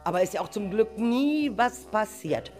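A woman plays an anxious schoolteacher in an amateur radio drama, with a medium-pitched voice. She is agitated, repeats words and stumbles as she frets. Music runs behind her. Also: expressive, a little mellow, natural voice. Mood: worried, exasperated. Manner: agitated, halting, talking to herself and repeating words. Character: flustered, worried female primary school teacher missing her pupils. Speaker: teacher character. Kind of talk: amateur radio play acting.

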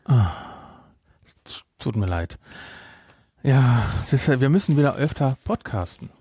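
A man's voice: medium pitch, animated, measured pace, mellow, slightly rough, no echo, studio quality. A middle-aged man chatting casually as a podcast host, rambling and repeating words for emphasis.

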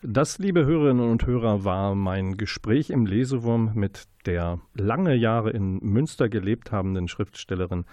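A man's voice: lowish voice, normal speed, few pauses, darkish tone, no echo, lo-fi sound. A man hosting a radio book show, presenting and interviewing in long, elaborately worded sentences.

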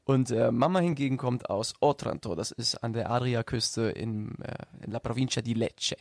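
A man, a singer who grew up in Germany with Italian parents, talking relaxed as a radio guest, with many fillers. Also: medium pitch, moderate pace, gravelly, no echo. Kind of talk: casual radio interview. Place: radio studio.

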